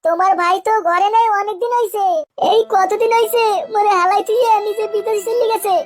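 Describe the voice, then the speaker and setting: A woman's voice: very high voice, fairly even intonation, a little fast. A woman voicing a sly, flirtatious married village woman in a comic cartoon, with a coy manner and a Barishal Bengali accent. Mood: coy, complaining. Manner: coy, suggestive.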